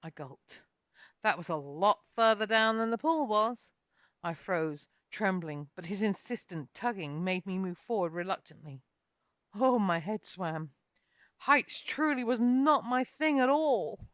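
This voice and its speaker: A woman's voice: medium pitch, expressive, measured pace. A woman author reading her own fantasy novel as a dramatic first-person storyteller. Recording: muffled, echoey home recording.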